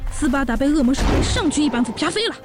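A woman voicing an excitable young orc wife and daughter, narrating and chatting in a fan audio drama. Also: high-pitched, racing, music in the background. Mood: excited.

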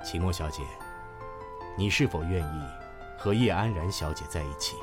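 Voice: sits low, normal speed, over music, lightly acted. An actor playing a solemn wedding officiant reading out vows in a Chinese audio drama.

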